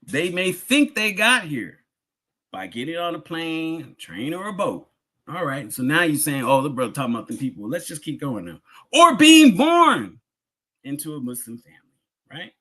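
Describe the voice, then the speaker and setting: An American man delivers a sermon-style lecture in a rough-edged, husky voice. His intonation moves widely as he leans on key words. He keeps it conversational, repeating phrases and posing rhetorical questions to his listeners. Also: on the high side, huge pitch swings, moderate pace, bright, forceful tone.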